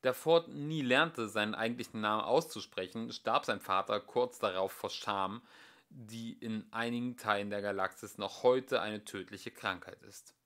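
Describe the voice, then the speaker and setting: A young man reading a comic science fiction novel aloud as an amateur home audiobook, with a dry, expressive storytelling manner. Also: lowish voice, normal speed. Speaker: audiobook reader. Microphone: home recording.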